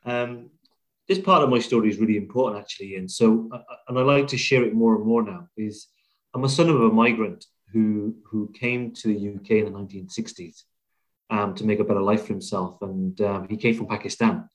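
Middle-aged British male business leader as interview guest, lower register, reflective and halting conversational storytelling. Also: poor audio. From Wales, UK. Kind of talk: conversational interview, telling own career story.

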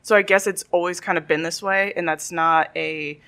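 A young woman, a stand-up comedian, talking in a soft, reflective way as a podcast guest. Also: lowish voice, steady tone, moderate pace. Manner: soft-spoken, reflective, often laughing. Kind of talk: casual interview chat.

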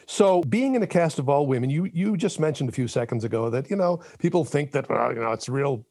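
A man's voice: mid-range voice, lively intonation, fairly quick, darkish tone. A man hosting an interview, asking chatty, friendly questions. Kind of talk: conversational interview.

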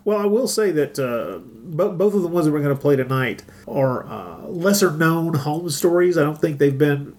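A man with a slightly high voice hosts a podcast in an informal way. He chats casually and gives his opinions with emphasis. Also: a little mellow. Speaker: podcast host. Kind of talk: casual chat.

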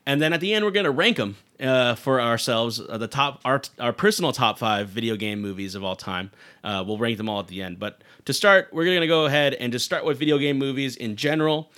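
A man's voice: medium pitch, fairly quick, sharp tone. A middle-aged man hosting a podcast in a casual, conversational style. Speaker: podcast host.

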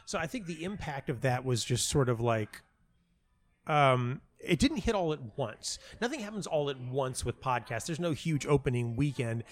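A man with a medium-pitched voice speaks as a guest in a casual interview. He tells anecdotes with emphasis, acting out bits of dialogue and circling back over phrases to stress them. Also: lively intonation, normal speed. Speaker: interview guest, playwright and audio dramatist.

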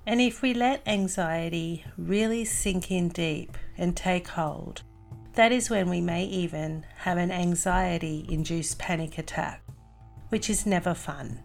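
A woman leading a guided meditation as host, calm and soothing, in deliberate phrases with long pauses. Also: lowish voice, gravelly, soft background music.

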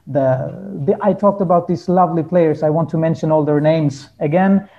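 Earnest man with a mellow, higher-pitched voice. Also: muffled recording. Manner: earnest, halting, searching for words. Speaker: guest musician. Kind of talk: reflective interview talk.

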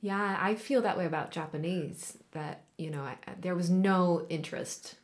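Woman host, lowish voice, casual and kinda hesitant. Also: moderate pace. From Israel. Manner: hesitant, many fillers. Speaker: podcast host. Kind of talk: casual interview conversation.

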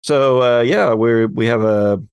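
A man's voice: medium pitch, unhurried, a little mellow, clear, resonant tone, no room sound, muffled recording. A man hosting a casual panel discussion on a podcast. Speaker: podcast host.

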